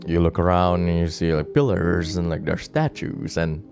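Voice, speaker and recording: A man's voice: low-pitched, normal speed, creaky, a little mellow, faint music behind. A man chatting casually and rambling on a late-night stream, with many restarts and laughs. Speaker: virtual streamer.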